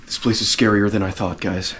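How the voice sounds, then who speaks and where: A man voicing a goofy male monster hunter in an indie horror game cutscene, slow and mock-dramatic, talking to his viewers. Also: lowish voice, level tone, a bit bright, slight background noise, lightly acted. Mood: mock-spooky.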